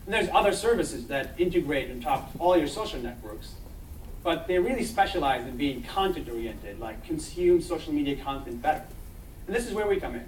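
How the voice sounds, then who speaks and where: Young man pitching in an echoey hall. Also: lively intonation, brisk, slight background noise. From Israel. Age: young adult. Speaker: startup founder pitching. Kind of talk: startup pitch presentation. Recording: echoey hall, mic from far off.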